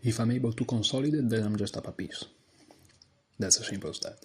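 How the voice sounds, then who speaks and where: A man with a deep voice talking quietly through his moves as he plays an online chess game. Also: fast, slightly rough. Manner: quiet, low-voiced thinking aloud. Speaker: chess streamer. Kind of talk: live game commentary.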